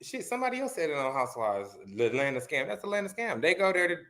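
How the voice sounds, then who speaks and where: A man's voice: on the high side, fast. Gossipy man swapping reality TV talk. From US Midwest. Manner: gossipy, animated, acts out quoted voices. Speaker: guest. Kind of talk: casual chat about reality TV.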